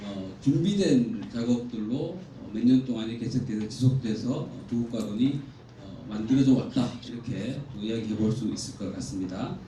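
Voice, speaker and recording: A man delivers a formal academic presentation in a very echoey hall, heard at some distance from the microphone. His voice is mellow and middling in pitch. He explains in a measured, orderly way, with faint noise behind him. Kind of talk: conference presentation from slides. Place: indoor conference hall.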